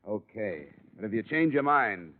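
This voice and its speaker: An actor playing a cool, hard-boiled insurance investigator, clipped and businesslike in a 1950s radio drama. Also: male voice, fairly low pitch, sing-song, measured pace. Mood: pressing. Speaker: insurance investigator, series lead. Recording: muffled, faintly noisy old radio broadcast.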